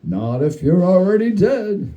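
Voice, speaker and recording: A man voicing a gloomy, reproachful, needy ghost in a dramatic story reading, complaining in a grim, forlorn, drawn-out way. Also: high-pitched, big pitch swings, mellow, dull audio, voice pushed up. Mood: grumbling. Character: gloomy, reproachful, needy male ghost who nags the living.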